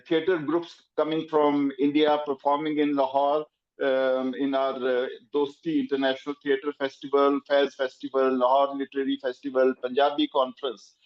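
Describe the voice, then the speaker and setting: A man's voice: mid-range voice, fairly even intonation, a little slow. Hesitant man on a lo-fi call. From Pakistan. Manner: hesitant, many fillers. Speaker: playwright. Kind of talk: remarks at a panel, joining remotely.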